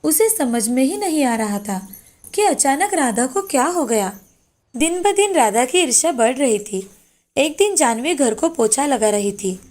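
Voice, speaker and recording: A woman's voice: high voice, big pitch swings, unhurried, darkish tone. A woman narrator reading a scripted moral fairy tale as storytelling. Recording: background music under the voice.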